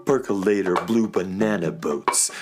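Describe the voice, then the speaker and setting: A man's voice: low voice, unhurried, over loud music. An actor playing a laid-back, poetic beatnik cat father in a children's radio drama, with languid hip slang. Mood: poetic, dreamy.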